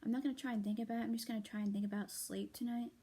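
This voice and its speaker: Young woman, hoarse, sleepy, whispery, mellow dark tone, breathy, halting ramble. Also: on the high side, fairly even intonation. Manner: soft, halting, often whispery, many fillers and restarts. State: tired and hoarse. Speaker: vlogger. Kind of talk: late-night rambling vent to viewers.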